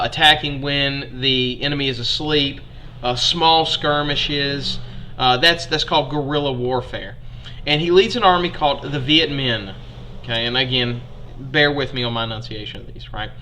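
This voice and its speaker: A man's voice: mid-range voice, pushed and bright, a little hiss or hum. A young man with an Alabama accent teaching a history lesson in a chatty, folksy way to his students.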